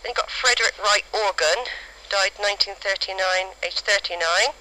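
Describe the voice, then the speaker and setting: A woman recording halting, spontaneous field notes outdoors on a cassette recorder, describing things piece by piece. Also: medium pitch, pushed and bright. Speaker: amateur family historian recording an audio diary. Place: outdoors in a cemetery. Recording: faintly noisy amateur cassette recording.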